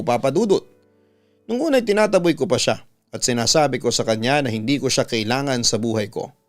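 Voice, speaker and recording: A man with a Filipino accent reading listener letters as a scripted story narration and giving advice as a radio host. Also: medium pitch. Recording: dull audio with music behind. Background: music bed.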